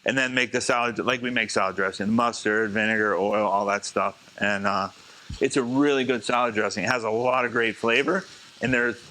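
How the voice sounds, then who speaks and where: A man, a chef, teaching a live cooking demonstration in a casual, chatty way, slightly hoarse as he is losing his voice. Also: lowish voice, steady tone, normal speed, sharp tone. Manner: casual, chatty, talks to the class.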